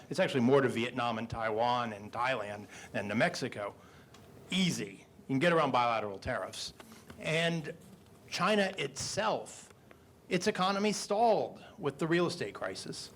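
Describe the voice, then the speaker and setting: A man with a booming voice answering as a guest economist on a panel, speaking into a handheld microphone at an indoor event. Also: fairly bright tone, slight background noise. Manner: booming, measured, explanatory.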